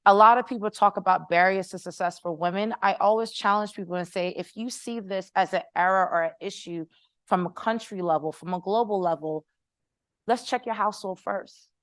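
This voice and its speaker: A woman's voice: mid-range voice, brisk, dry recording, studio quality. A middle-aged Haitian American woman with an American accent, a startup founder speaking persuasively on a conference panel. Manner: passionate, persuasive, audience-engaging. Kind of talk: panel discussion answer. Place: conference room with audience.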